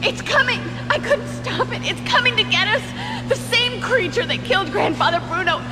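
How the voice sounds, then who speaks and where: A woman playing a frantic, horrified child in a video game cutscene, crying out in shrill panic. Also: lively intonation, normal speed. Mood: panicked. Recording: long reverb under loud music.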